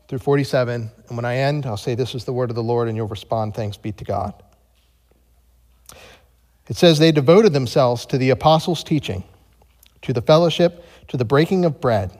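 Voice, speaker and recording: A man's voice: mid-range voice, a little mellow. An American man preaching as a pastor, moving between scripture reading and personal storytelling. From United States. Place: large reverberant room, speaking to a congregation. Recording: long hall reverb.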